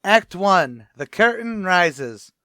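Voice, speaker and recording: A man's voice: sits high, very animated, slow pace, pushed and bright, no echo, clean studio sound, exaggerated voice. A man doing a brash wisecracking tavern manager. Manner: brash ungrammatical tough-guy patter. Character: wisecracking middle-aged male tavern manager. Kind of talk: old-time radio comedy recreation.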